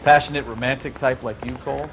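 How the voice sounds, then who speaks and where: An actor playing a wry, teasing 1940s police partner in a game. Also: male voice, mid-range voice, steady tone, fast, a bit bright, close to an everyday voice, rough recording. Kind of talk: dramatic game dialogue. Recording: clear outdoor street noise behind.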